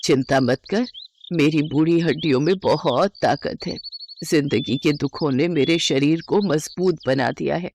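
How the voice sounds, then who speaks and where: A woman voicing a kind, weary eighty-year-old village grandmother in an affectionate, gently reassuring way for a storybook tale. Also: deep voice, unhurried, slight background noise, voice pushed down. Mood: gentle, weary.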